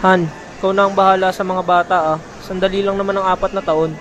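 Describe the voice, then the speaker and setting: A man's voice: sits high, flat delivery, fast, fairly bright tone. An actor playing an affectionate father saying goodbye to his family in a radio drama. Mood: tender, reassuring. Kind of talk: amateur radio drama acting. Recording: noisy outdoor street ambience with vehicles.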